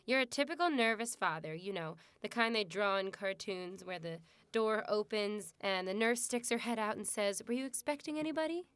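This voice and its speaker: A woman's voice: medium pitch, moderate pace, few pauses, a bit bright, clean tone, no room sound, lightly acted. A woman playing a wry, unsentimental expectant mother in a radio drama, speaking in a composed, matter-of-fact way. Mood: wry, teasing.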